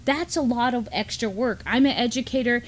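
Female co-host, middle-aged, somewhat higher pitch, earnest and conversational news commentary. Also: brisk. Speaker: co-host and educator. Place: indoor studio desk, backdrop.

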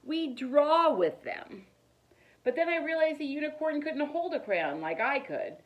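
A middle-aged woman reads a children's picture book aloud in an expressive, deliberate storytelling manner. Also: high-pitched, lo-fi sound. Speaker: teacher reading aloud. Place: living room, indoors.

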